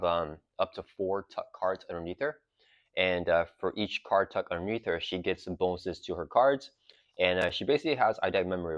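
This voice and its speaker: A man's voice: deep voice, no echo, lo-fi sound. A man hosting his channel, chatting casually through an unboxing of a card game with lots of fillers, his throat sore and a cough drop in his mouth. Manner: relaxed, rambling, many fillers. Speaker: channel host.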